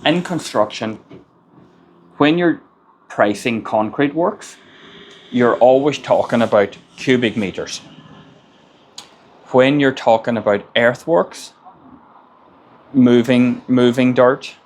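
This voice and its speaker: A man's voice: mid-range voice, takes it slowly, long pauses, a little hiss or hum. An Irish man, a construction business owner, gives plain-spoken advice in a casual monologue and often repeats words as he gathers his thoughts. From Ireland.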